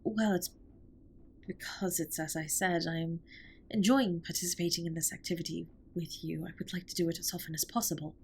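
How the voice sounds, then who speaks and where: A woman's voice: on the low side, steady tone, a little fast, a little mellow, a little hiss or hum, natural voice. A woman playing a formal, reserved but tender alien spaceship captain in a scripted comfort audio roleplay, speaking with precise, stilted politeness. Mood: earnest, shy.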